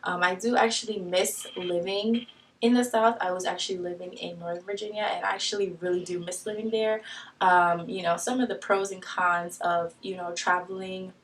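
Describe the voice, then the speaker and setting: A woman's voice: on the low side, steady tone, normal speed, recorded from a distance, poor audio. A young woman from Long Island, New York, with a light Long Island accent, chatting casually in her first get-to-know-me vlog, full of ums and restarts. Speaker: new YouTuber.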